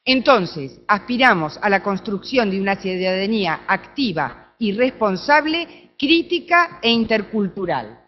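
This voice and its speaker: A woman with an Argentine accent giving an academic talk at a conference, often checking in with her audience through quick tag questions. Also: mid-range voice, dull audio. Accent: Argentine Spanish. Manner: animated, with frequent tag questions. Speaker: conference speaker. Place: conference room.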